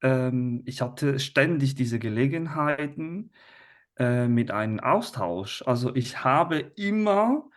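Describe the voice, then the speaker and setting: Slow, hesitant Italian man. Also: mid-range voice, animated. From Italy. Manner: hesitant, many fillers and restarts. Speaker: teacher, guest. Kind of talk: conversational interview. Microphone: video call.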